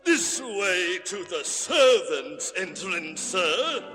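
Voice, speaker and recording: Children's story narrator, high-pitched, slow, drawn-out, playful, scripted, music behind on vinyl. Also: male voice, sing-song, bright, forceful tone, full character voice. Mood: formal. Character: playful storybook-record narrator, formal party announcer.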